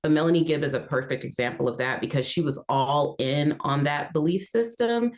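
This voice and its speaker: Woman, legal analyst, low voice, articulate, assertive, panel commentary. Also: fairly quick. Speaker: legal analyst, defense perspective.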